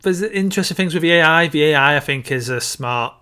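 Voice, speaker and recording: A man's voice: a little high, fast talker, pushed and bright, clear, resonant tone. A man co-hosting a podcast, talking casually about films. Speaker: podcast co-host. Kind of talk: casual film discussion.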